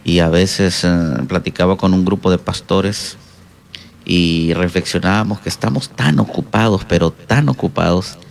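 A middle-aged man with a Honduran Spanish accent, answering interview questions as a pastor in a halting, reflective way. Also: low-pitched, fairly even intonation, vocal fry, a little hiss or hum. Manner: halting, reflective, hesitant pauses. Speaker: guest pastor and counselor.

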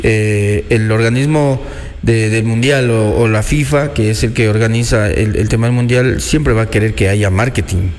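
Lower-voiced man with halting speech. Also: long reverb tail, a little hiss or hum. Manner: halting, many restarts and fillers, explains calmly. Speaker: sports talk co-host. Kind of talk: casual football debate.

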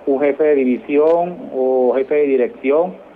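A man's voice: medium pitch, takes it slowly. A middle-aged man with a Venezuelan accent, answering interview questions in a conversational way as a military guest. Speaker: interview guest, army colonel. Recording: noisy, tinny phone-like line.

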